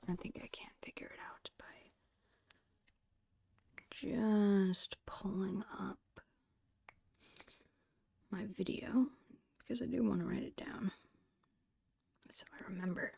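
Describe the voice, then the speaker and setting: A woman's voice: mid-range voice, slow pace, pauses often, mellow, dry recording. A woman whispering in an ASMR style, casually thinking aloud in short phrases as she narrates her own digital painting. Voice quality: breathy whisper.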